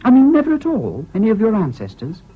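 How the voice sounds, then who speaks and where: Whimsical young English student, lilting and high-voiced. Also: male voice, wildly swooping pitch, quick pace, husky, full character voice. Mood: eager, whimsical. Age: young adult. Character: whimsical, impulsive young male Cambridge student, evasive charmer. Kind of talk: 1970s British radio drama acting. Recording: dull, hissy old radio recording.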